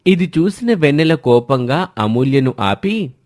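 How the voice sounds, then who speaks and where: A man's voice: fairly high pitch, big pitch swings, unhurried, darkish tone. A man reading a scripted family drama story as the storyteller, in a dramatic narrating style.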